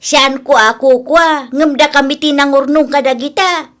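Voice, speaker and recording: A woman's voice: sits high, normal speed, a bit bright. A woman playing an indignant village auntie who scolds and protests to guard her things, in a comedic radio drama.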